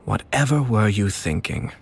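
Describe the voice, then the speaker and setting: An actor playing a poised, courteous young aristocratic commissioner with quiet menace, speaking formally and with measured composure in a video game. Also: male voice, lowish voice, lively intonation, creaky, slight background noise. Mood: veiled menace. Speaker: game character. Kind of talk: video game dialogue acting. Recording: background music under the voice.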